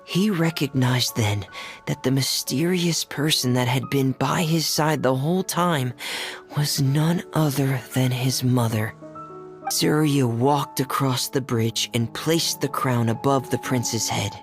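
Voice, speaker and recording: A man voicing an earnest, reserved young male diver in a game, gentle and measured, as if reading a storybook. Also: medium pitch, faint music behind. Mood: solemn storytelling.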